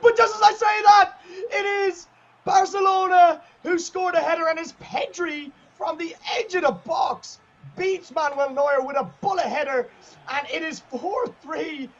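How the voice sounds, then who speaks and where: A male esports commentator with a very high, strained voice calls the match at full tilt. His voice is going hoarse, and he erupts into shouts whenever a goal goes in. The audio is dull and lo-fi. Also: unhurried. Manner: excitable, shouting at goals. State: losing his voice. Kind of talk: play-by-play commentary.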